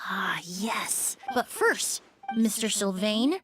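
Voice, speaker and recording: A woman's voice: medium pitch, big pitch swings, slow, music in the background. A woman playing a courteous, earnest young perfumer in a video game, with precise, composed wording. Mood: serious, composed. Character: polite, composed young female perfumer, earnest and devoted apprentice.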